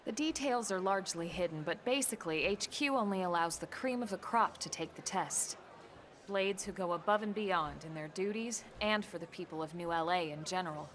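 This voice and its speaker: An actress playing a composed, level-headed female soldier and mentor in a video game, measured, matter-of-fact and gently reproving. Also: on the low side, close to an everyday voice. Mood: calm, informative. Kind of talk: video game cutscene acting.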